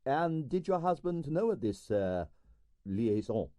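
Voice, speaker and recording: A man's voice: mid-range voice, big pitch swings, slow, dark tone, no echo, clean studio sound, pitched above the performer's own voice. A man playing an earnest young police detective in a storybook, asking questions firmly and politely. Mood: probing. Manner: firm, questioning.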